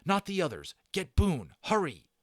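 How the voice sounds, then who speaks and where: Man voicing a shaken, insistent schoolboy, raised pitch. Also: huge pitch swings, moderate pace, no echo, clean studio sound, exaggerated voice. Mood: urgent. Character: shaken, stubborn young British schoolboy, earnest and urgent.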